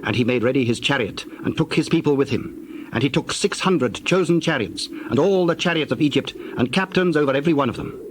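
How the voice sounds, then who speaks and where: A man narrating dramatized scripture from a script, telling the story in a measured way. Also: medium pitch, background noise.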